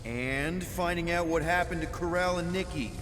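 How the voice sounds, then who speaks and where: Game hero played by a man: wry easygoing space adventurer, highish boyish voice, long reverb. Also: moderate pace, fairly bright tone, a little hiss or hum, lightly acted. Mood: wry, focused. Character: easygoing, wisecracking young male space adventurer. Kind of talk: video game voice acting.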